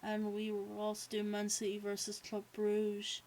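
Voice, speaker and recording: Woman, Irish accent, live streamer, casual chat, middle pitch, frequent fillers, reads chat aloud. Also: completely flat delivery, moderate pace, a little mellow. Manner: chatty, with frequent "um" and "yeah" fillers. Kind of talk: live watch-along chat and match reactions.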